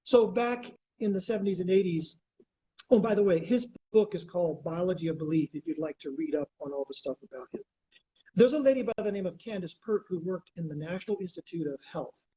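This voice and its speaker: A man's voice: high-pitched, a little mellow, breathy edge, highs cut off. A man in his sixties, born in Greece, answering as an interview guest and explaining ideas step by step in an earnest, lecturing way.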